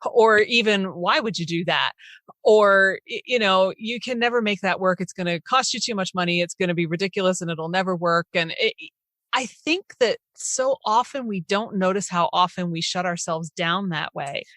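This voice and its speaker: A woman's voice: mid-range voice, normal speed, fairly bright tone, dry recording. A woman hosting a podcast, reflecting aloud in an earnest, informal conversational way. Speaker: podcast host, interviewer.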